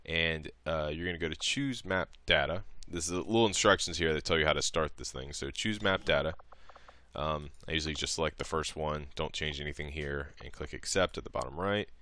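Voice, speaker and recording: A man's voice: very deep voice, fairly quick, sharp tone, dry recording. A man presenting a modding tutorial as a casual screen-recorded walkthrough, explaining each step in an informal, offhand way.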